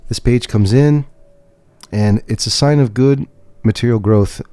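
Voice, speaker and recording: A man livestreaming a tarot reading, talking in a gentle, reassuring way with pauses and restarts. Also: mid-range voice, no echo. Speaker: tarot reader and podcast host. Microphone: webcam setup.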